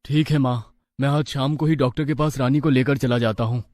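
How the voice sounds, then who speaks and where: A man's voice: mid-range voice, fairly even intonation, brisk, background noise, lightly acted. A man playing an earnest, respectful young village husband and dutiful son.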